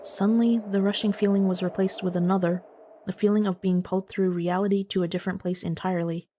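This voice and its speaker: A woman's voice: fairly low pitch, flat delivery, fast, warm tone, a little hiss or hum. A woman streamer who moves between casual, informal chat and reading a visual novel story aloud. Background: background music.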